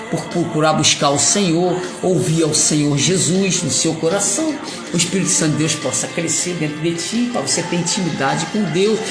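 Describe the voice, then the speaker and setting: Gravelly Carioca preacher in an echoey hall. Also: male voice, high-pitched. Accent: Carioca Portuguese. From Rio de Janeiro. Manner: rambling, emphatic, with playful asides. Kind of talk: sermon. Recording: echoey room with music behind.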